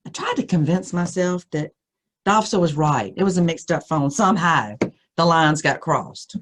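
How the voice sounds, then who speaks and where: Female storyteller, lowish husky voice, reading an eerie first-person tale with deliberate, suspenseful delivery. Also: animated.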